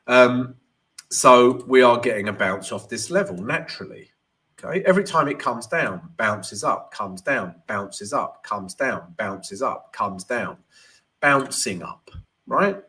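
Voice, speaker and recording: A man's voice: medium pitch, a bit bright. A man hosting a live trading stream, congested from a cold and chatting to viewers while going over charts. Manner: chatty, addresses viewers as guys, frequent "right?". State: congested, stuffy nose. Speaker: trading livestream host.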